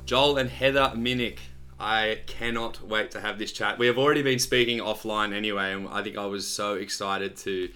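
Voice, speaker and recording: A man in his thirties hosts a chatty podcast interview over a video call. His voice is bright and forceful, sitting a little low. He talks with excited, rambling energy and keeps restarting his sentences. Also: moderate pace, clean tone, soft background music. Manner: enthusiastic, rambling with frequent restarts. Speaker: podcast host. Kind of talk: friendly casual interview chat.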